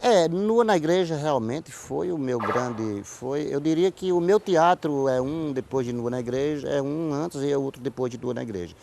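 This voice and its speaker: A man's voice: medium pitch, normal speed, creaky, hardly any pauses, noisy background. A man from Paraíba with a Northeastern Brazilian accent, telling stories as an interview guest, repeating and correcting himself as he goes. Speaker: interview guest, writer and playwright. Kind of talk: conversational storytelling. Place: TV studio.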